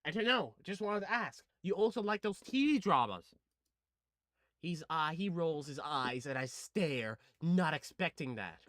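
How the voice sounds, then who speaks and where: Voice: medium pitch, animated, unhurried, a bit bright, dry recording, clean studio sound. A gaming video host reading a visual novel aloud and adding slangy, casual commentary, stumbling over words now and then. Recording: music playing behind the voice. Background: music.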